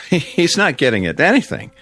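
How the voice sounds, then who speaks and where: A man's voice: a little high, huge pitch swings, a little slow, a bit bright, soft background music, full character voice. A man acting in a radio drama as an easygoing friend who speaks in an offhand, placating way. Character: easygoing male friend, smoothing over tension while hiding worry.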